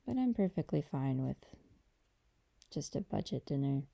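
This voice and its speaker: A woman playing a gentle, affectionate girlfriend in an intimate ASMR roleplay, talking softly and hesitantly to a partner. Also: big pitch swings, full character voice. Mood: relaxed, affectionate. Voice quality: dark, creaky low voice. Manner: soft, hesitant, unhurried conversational delivery.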